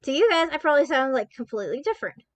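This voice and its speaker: A woman's voice: sits high, expressive, racing, no room sound. A woman from Texas hosting her channel, chatting casually in a rambling way, with frequent swearing and restarts. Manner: rambling, profane, self-interrupting. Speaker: channel host. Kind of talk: casual chat.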